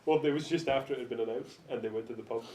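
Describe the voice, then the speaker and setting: Very fast-talking man, far from the mic. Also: mellow. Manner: blunt, sweary. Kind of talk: casual group chat. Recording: distant, echoey amateur podcast with faint rumble.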